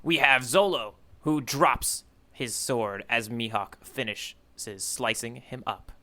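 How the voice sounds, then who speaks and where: A man co-hosting a podcast, casually recapping a story in a halting way with frequent "you know" asides. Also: medium pitch, sharp tone. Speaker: podcast co-host recapping a manga chapter.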